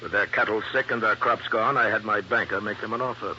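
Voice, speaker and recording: An actor playing a cold, self-assured plantation owner in an old radio drama, clipped and businesslike. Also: male voice, on the low side, steady tone, brisk, sharp tone. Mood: cool, defensive. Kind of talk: 1950s radio drama acting. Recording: dull old radio recording, faint hum.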